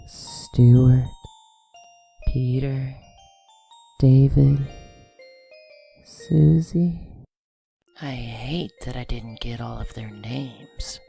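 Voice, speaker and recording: Comic-dub villain: creepy gloating murderer, gravelly half-whisper, extremely slow, falsely sweet. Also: male voice, medium pitch, warm tone. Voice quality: breathy, gravelly, often whispering. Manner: slow, menacing, drawn-out. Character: creepy male child killer gloating over freedom, falsely gentle.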